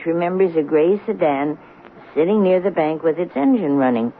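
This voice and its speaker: A kindly elderly woman in a radio drama, earnest and sorrowfully moralizing. Her pitch moves up and down expressively. The sound is a tinny old broadcast with faint noise. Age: older. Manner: earnest, lamenting.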